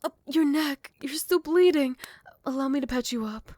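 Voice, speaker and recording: A woman voicing a proud vampire royal smitten with a rival, in soft, formal, measured speech that often slips toward a whisper, for a romantic ASMR roleplay. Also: high-pitched, no room sound, pitched above the performer's own voice, close to an everyday voice. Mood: alarmed, caring. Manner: formal, archaic, measured courtly phrasing. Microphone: close microphone, dry.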